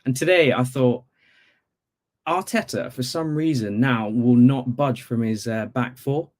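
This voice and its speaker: Man, mid-pitched, from Bangladesh, football fan caller, casual sports talk, conversational asides. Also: a little slow, a little mellow. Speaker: football fan calling in to a podcast.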